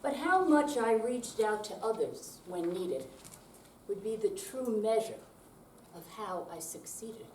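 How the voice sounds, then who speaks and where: A woman's voice: medium pitch, lively intonation, takes it slowly. An older woman giving a dramatic reading as a performer in a live readers theater. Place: senior center room, mic at a distance. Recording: echoey room, distant, noisy background.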